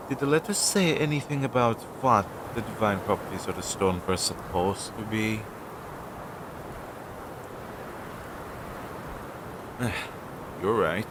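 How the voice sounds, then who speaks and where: A young man playing an anxious, earnest and loyal crow familiar in a roleplay audio drama, hesitant and chatty as he thinks aloud, on a low-quality amateur recording. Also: background noise. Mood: doubtful, sympathetic. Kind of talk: roleplay audio drama, intimate one-sided dialogue.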